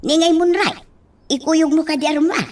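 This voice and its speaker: A woman's voice: sits high, moderate pace, darkish tone, lightly acted. An actress playing a caring adult daughter in a radio drama, questioning her father with worry. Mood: dutiful. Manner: dutiful, worried questioning.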